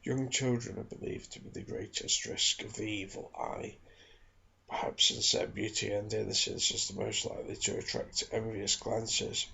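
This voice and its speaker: A man reading aloud from a book in ASMR style, soft-spoken, hushed and measured, recorded in a small room at home. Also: fairly low pitch, steady tone, vocal fry, breathy edge, rough recording. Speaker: ASMR reader and channel host. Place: small home studio in a back bedroom.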